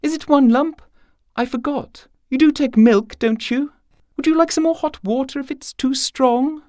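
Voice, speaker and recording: A man's voice: very high-pitched, normal speed, a little mellow, no echo, studio quality, voice pushed up. A man voicing a genteel young woman hostess, dainty and fussily polite, in a radio short story. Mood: politely solicitous. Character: genteel young woman hostess, tinkling, solicitous tea-table chatter.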